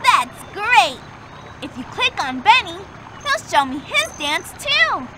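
A woman's voice: extremely high pitch, sing-song, slow, very noisy, full character voice. A woman voicing a cheerful young girl explorer in a children's video game, talking to the player and encouraging them. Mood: guiding.

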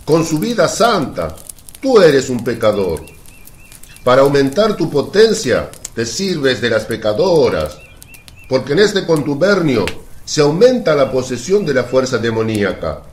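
Self-righteous Pharisee played by a man, radio drama, lively intonation, pompous and denouncing. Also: mid-range voice, a little slow, slight background noise. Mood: scornful accusing. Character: hostile, self-righteous male Pharisee accusing with scorn. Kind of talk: Spanish radio drama acting.

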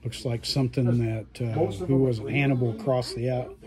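Man narrating over loud shop chatter. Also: mid-range voice, fairly even intonation, moderate pace. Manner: rambling, appreciative. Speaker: host filming a shop tour. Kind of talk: casual vlog commentary. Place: inside a busy hobby shop. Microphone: handheld phone. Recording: busy shop chatter behind.

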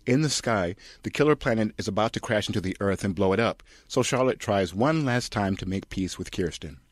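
Low-pitched male satire narrator, dry sound. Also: expressive, a little fast, no echo. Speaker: narrator, reader.

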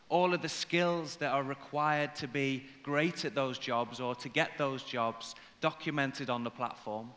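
A man in his forties with an English accent speaks as a corporate presenter at a conference. His voice is bright and forceful at an average male pitch, with measured phrasing. The long reverb of a big hall surrounds it. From Liverpool, England. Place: large indoor conference hall, on stage. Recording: reverberant hall sound from stage.

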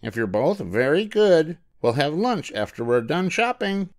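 Storybook koala mum, played by a man: pitched up, patient yet exasperated, lively swings. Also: a little slow. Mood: coaxing. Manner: sensible, coaxing, sighing parent. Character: mother koala, patient but exasperated, cheerfully embarrassing.

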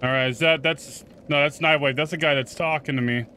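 A man giving casual first-look game commentary, excitable and sweary, reacting aloud and chatting to his viewers. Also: on the high side, a little fast, a little hiss or hum. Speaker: gaming video host.

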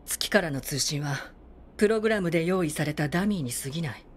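An actress playing a stern, weary android military commander in a video game, formal and grave. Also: female voice, sits low, expressive, fast, a little hiss or hum. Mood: grave, resigned. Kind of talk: dramatic video game acting.

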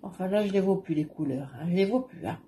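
A woman with a broad Ch'ti accent, voicing a brash, gossipy northern French housewife with a rough, loud patois delivery, recorded on a webcam in a small room. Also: on the low side, fast, slight background noise, close to an everyday voice.

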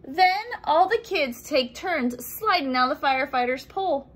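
Young woman, children's read-aloud, bright voice, lively swooping intonation, explanatory asides, expressive. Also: high voice, unhurried. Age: young adult. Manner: animated, acts out sound effects and exclamations.

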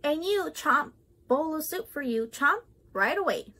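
Woman playing pompous waiter, high-voiced and formal. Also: lively intonation, unhurried, sharp tone, exaggerated voice. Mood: obliging. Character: fussy, proud, obliging male waiter in fine restaurant.

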